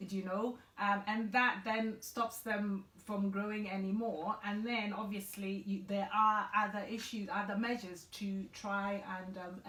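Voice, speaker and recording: A woman's voice: medium pitch, a bit bright. A woman in her forties from Ghana, earnestly explaining health issues as a guest in a conversational interview. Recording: echoey room.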